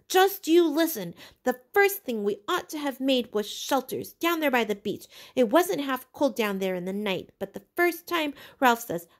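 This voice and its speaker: Woman acting an indignant, high-pitched schoolboy. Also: full character voice. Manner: plaintive protesting, ungrammatical colloquial speech. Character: indignant, frightened, put-upon schoolboy, pleading to be heard.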